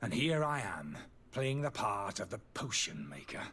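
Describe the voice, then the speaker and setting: An actor playing a disgraced, indignant alchemist in a fantasy video game, pleading and justifying himself theatrically in a slightly husky voice. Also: male voice, fairly low pitch, sing-song, a little slow, sharp tone, heavily acted. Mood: bitter, exasperated. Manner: agitated, defensive, theatrical delivery.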